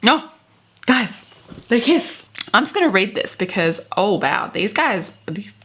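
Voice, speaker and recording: 20s woman vlogger doing dramatic readings in her bedroom, giggly and over the top, mid pitch. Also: big pitch swings, unhurried, a bit bright, slightly rough. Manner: theatrical, giggly, gushing. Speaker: book vlogger. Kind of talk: dramatic reading of fan fiction with chatty asides. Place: bedroom, indoors.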